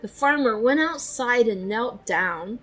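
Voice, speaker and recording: A woman narrating a children's storybook with expressive, deliberate phrasing. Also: a little high, soft background music.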